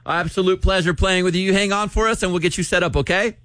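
A man's voice: sits high, fairly even intonation, fast talker, a bit bright, slight background noise. A man hosting a radio show with upbeat on-air banter with callers, speaking on a studio microphone.

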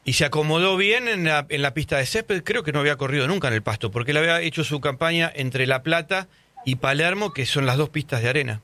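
Male sports interviewer, sharp forceful tone, dry sound, conversational, corrects himself as he goes. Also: on the high side, fast, no echo. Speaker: interviewer, horse racing show host.

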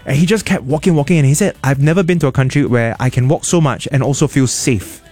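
A Singaporean man hosting a radio show, chatting casually and telling stories with quick asides in a studio. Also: mid-range voice, darkish tone, faint music behind. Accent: Singaporean English. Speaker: radio host. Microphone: studio mic.

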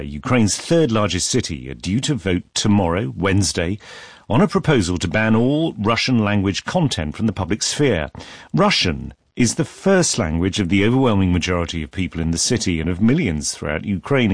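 A British man presents live radio news in a very low, lively voice. The sound is close and dry, with a slightly husky grain. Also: dry recording.

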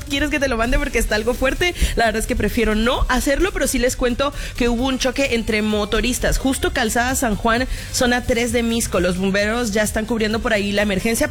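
Woman radio host, Guatemalan accent, fairly high voice, chatty and informal, music bed behind. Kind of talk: casual music radio presenting and chat. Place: radio studio.

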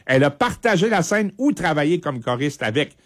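A man's voice: fairly high pitch, very animated, a little fast, no room sound. Quebec man on radio, dry studio sound. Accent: Quebec French. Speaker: radio music columnist. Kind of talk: conversational radio music segment.

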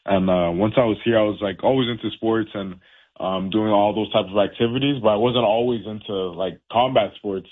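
Young man, from Haiti, boxer, relaxed, filler-heavy, lowish voice, phone-line sound. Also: fairly quick, slightly rough. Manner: laid-back, many fillers and restarts. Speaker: professional boxer, guest. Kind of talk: casual interview.

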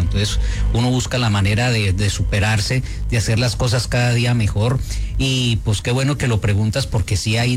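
A middle-aged man with a Colombian Spanish accent, speaking as a spiritual healer on a radio interview in an unhurried, explanatory way. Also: medium pitch. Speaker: spiritual healer and guest expert on his radio show. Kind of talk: conversational radio interview answers. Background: background music.

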